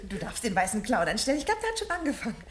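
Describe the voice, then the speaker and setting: An actress playing a flighty, fussing, easily indignant housewife in a 1970s radio play, fluttery and exclamatory. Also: female voice, a little high, wildly swooping pitch, very fast. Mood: anxious cajoling. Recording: noisy, echoey old radio play recording.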